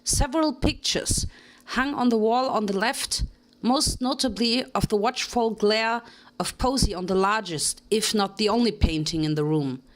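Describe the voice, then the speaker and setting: A woman narrating a fan fiction story in a dramatic reading, with steady storytelling delivery. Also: mid-range voice, moderate pace. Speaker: reader.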